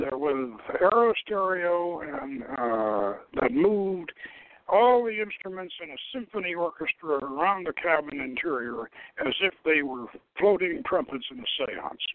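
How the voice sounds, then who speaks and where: A man reads fiction aloud in a theatrical, slightly halting manner. His voice sits on the high side and swings widely in pitch, with very little room around it. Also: lively intonation, no room sound, narrow, tinny audio. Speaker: show host reading own novel. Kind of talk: dramatic story reading.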